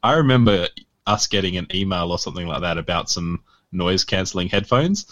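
A man co-hosting a podcast, talking in a laid-back, monotone way over a video call. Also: low voice, fairly quick, no echo, lo-fi sound. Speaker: podcast co-host. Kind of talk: casual banter.

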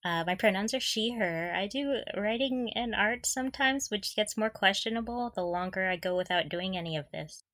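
A woman's voice: medium pitch, normal speed, few pauses, fairly bright tone, no echo. A woman co-hosting a casual fan podcast, bantering in a mock-serious, giggly way. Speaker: podcast co-host.

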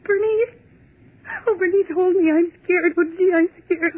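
An actress playing a terrified young woman trapped in a dark office, pleading and sobbing in 1940s radio drama style, on a tinny, scratchy old broadcast. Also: very high-pitched, moderate pace. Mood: panicked.

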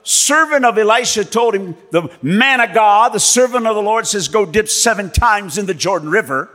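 A man preaching a sermon as pastor and missionary, telling stories with animated delivery and acting out the people in them. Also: high-pitched, normal speed. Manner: animated storytelling preacher, acts out voices in quotes. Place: church. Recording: long reverb of a church hall.

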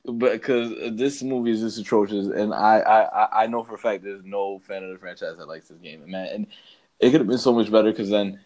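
A man's voice: fairly low pitch, fairly quick, a little mellow. A man co-hosting a podcast in casual chat, ranting with emphatic, slangy, repetitive phrasing. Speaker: podcast co-host.

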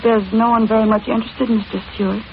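A woman's voice: fairly high pitch, little pitch movement, quick pace, natural voice. A muffled, slightly noisy vintage radio recording of an actress playing a meek young woman. She is earnest and deferential, a little hesitant, with the careful politeness of old radio drama. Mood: meek, eager. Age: young adult.

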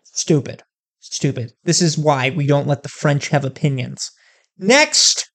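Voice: medium pitch, measured pace. Profane, ranting video host. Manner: profane, emphatic, animated ranting. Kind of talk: comedic rant to camera.

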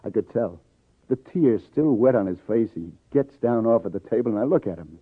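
A man's voice: lowish voice, lively intonation, fairly quick, breathy edge, no room sound. An actor playing a wry, hard-boiled New police detective in a 1950s radio crime drama, with sardonic, clipped tough-guy delivery. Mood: weary, wry. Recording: tinny old radio broadcast, faint music.

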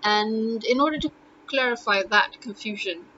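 A woman's voice: fairly high pitch, moderate pace, pushed and bright, highs cut off, a little hiss or hum. Rambling woman thinking aloud. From Pakistan. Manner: rambling, many ums and uhs, thinking aloud. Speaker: Urdu teacher. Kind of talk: casual spoken blog monologue.

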